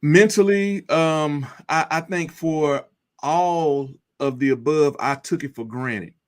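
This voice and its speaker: A man speaking as an interview guest, telling his story in an earnest, deliberate and reflective way. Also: on the high side, clear, resonant tone. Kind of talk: personal testimony in an interview.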